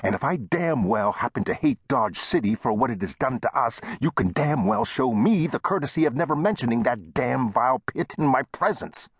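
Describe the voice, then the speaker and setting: A man's voice: big pitch swings, moderate pace, husky, dry recording, clean studio sound, full character voice. Man playing a touchy, indignant small-town storekeeper. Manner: heated, complaining, raised pitch.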